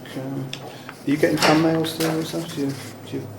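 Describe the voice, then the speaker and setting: A man's voice: on the high side, level tone, moderate pace, very noisy. A man chatting casually as an interviewer. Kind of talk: casual chat. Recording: echoey room with audible crowd.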